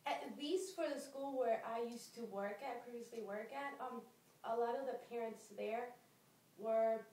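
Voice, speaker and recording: A woman's voice: a little high, normal speed, dark tone, slight background noise. A woman from Costa Rica answering interview questions, hesitant and full of ums. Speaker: job applicant. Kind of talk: mock job interview. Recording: echoey room from far off.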